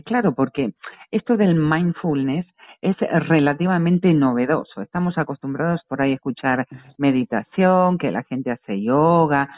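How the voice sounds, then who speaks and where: Informal woman radio interviewer, muffled sound. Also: low voice. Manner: informal, frequent listening murmurs. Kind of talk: friendly conversational radio interview.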